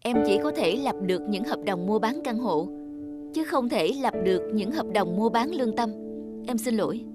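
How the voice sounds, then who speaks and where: A woman's voice: fairly high pitch, lively intonation, normal speed, loud music behind the voice, natural voice. A woman voicing an honest, polite young saleswoman in a cartoon. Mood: apologetic, firm. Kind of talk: cartoon dubbing.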